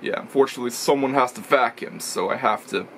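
A young man chats straight to a webcam in a small cluttered room, explaining things in a loose, rambling way. He stops and restarts his sentences as he goes. His voice sits at an ordinary middle pitch, with a faint hum of room noise behind it. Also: fast talker, a bit bright. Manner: rambling, self-correcting, explanatory. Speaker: racing video reviewer. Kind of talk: casual vlog commentary. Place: small indoor room full of toy shelves. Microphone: camera or webcam in front of him.

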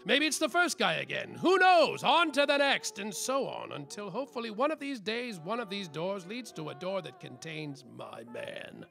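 An actor playing a semi-sober, world-weary, boastful private detective, narrating a comic noir radio play with theatrical flair. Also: male voice, sits high, big pitch swings, moderate pace, creaky, hardly any pauses, sharp tone, heavily acted. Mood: dramatic.

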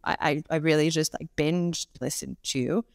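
A woman in her thirties with a Canadian accent, a Pilates instructor chatting as a guest on a video call, talking with lively gestures and lots of fillers. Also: low-pitched, normal speed, gravelly, no echo, clean studio sound. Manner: chatty, animated hand gestures, frequent fillers. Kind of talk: casual conversational interview. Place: home room, indoors.

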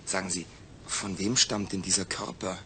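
Wary, low-voiced astronaut patient. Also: male voice, little pitch movement, moderate pace, bright, forceful tone, a little hiss or hum. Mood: wry, curious. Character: astronaut waking in a new body, wary, wry. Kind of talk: German radio play acting.

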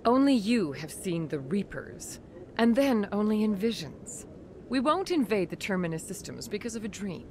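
A woman playing a composed, diplomatic alien councilor in a video game, formal and measured. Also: medium pitch, sing-song, darkish tone, a little hiss or hum. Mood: skeptical.

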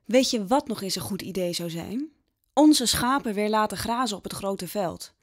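A woman's voice: mid-range voice, lively intonation, moderate pace, lightly acted. Woman playing a stubborn young peasant daughter. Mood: sarcastic, defiant. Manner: headstrong, sarcastic. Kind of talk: Dutch audio drama acting.

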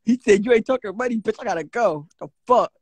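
A man's voice: high-pitched, animated, quick pace, a little mellow, husky, dry recording. A man in his twenties joining a phone livestream, brash and flirty. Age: 20s. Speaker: livestream guest. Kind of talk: casual flirty livestream chat.

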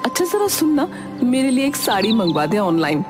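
A woman's voice: fairly high pitch, big pitch swings, normal speed, over music, voice pushed up. A woman voicing a homely, caring but nagging village mother in a cartoon story. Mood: coaxing, nagging.

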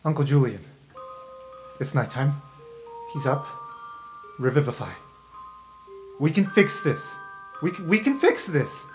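A man's voice: fairly high pitch, sing-song, unhurried, pauses often, soft background music. A man voicing a confident young woman student in a tabletop game, shaken but commanding. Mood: urgent, commanding.

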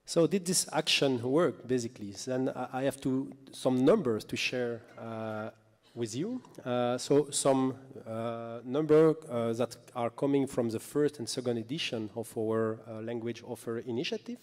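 A man in his thirties gives a conference presentation in a mellow, soft-edged voice. His delivery is hesitant and stop-start, and the hall adds a long reverb. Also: mid-range voice, a little slow. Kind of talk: semi-scripted presentation. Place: indoor hall, panel table. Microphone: table microphone on stand.

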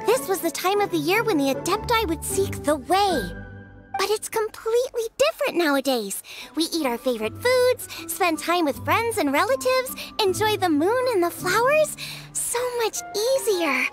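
A bright-spirited teenage girl chef from an anime game. Her girlish voice sits extremely high and bounces with animated, eager swings. Music plays behind her. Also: normal speed. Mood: cheerful explaining. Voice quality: youthful, girlish. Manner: perky, enthusiastic. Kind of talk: anime video game voice acting.

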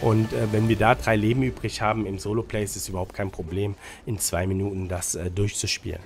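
A man's voice: lowish voice, little pitch movement, brisk, darkish tone. Male gaming streamer, slightly congested, casual colloquial tips, English gamer slang, music behind the voice. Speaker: gaming guide creator and streamer. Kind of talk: casual gameplay commentary with tips. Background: music under the commentary.

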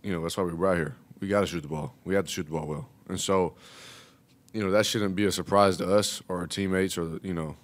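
A young man, a college basketball player, answering questions at a postgame press conference, relaxed and full of "you know". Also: deep voice, fast talker. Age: young adult. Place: press room, seated at table. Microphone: table mic.

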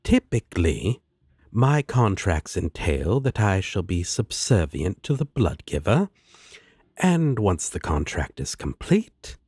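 A man's voice: deep voice, a little mellow, husky, no room sound, studio quality, exaggerated voice. A man playing a smug, teasing demon with a sardonic, drawling manner in an audio roleplay.

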